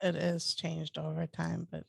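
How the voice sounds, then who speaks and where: Soft-spoken female co-host, gravelly fry, low voice. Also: completely flat delivery, measured pace, no room sound, clean studio sound. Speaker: podcast co-host. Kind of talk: casual podcast chat.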